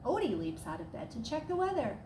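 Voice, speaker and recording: A woman reading a children's storybook aloud as a storyteller, with expressive, dramatic emphasis. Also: sits high, a little fast. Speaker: staff storyteller. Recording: roomy echo, clear background noise.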